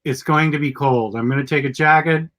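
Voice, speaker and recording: A middle-aged man hosts a live show while sick, his voice gone gravelly. He sits fairly high in pitch for a man and rambles through casual chat, correcting himself as he goes. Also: fairly quick, fairly bright tone. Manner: rambling, self-correcting, chatty. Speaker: podcast host.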